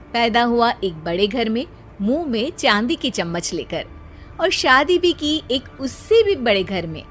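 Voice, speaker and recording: A woman reading scripted narration for a cartoon story. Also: fairly high pitch.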